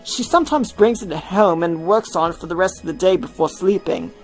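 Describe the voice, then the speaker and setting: A woman's voice: lowish voice, lively intonation, fairly quick, faint music behind, natural voice, poor audio. A woman voicing an easygoing young male rock star in a story reading. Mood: doubtful, concerned.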